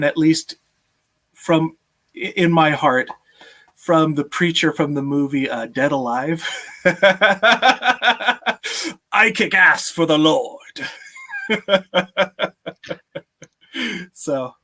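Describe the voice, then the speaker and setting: A man's voice: a little high, fairly bright tone. A man in his thirties hosting a casual live stream, chatting with viewers and often chuckling, on a video call from a room at home. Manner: chuckles often while talking. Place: small home room with sloped ceiling, indoors.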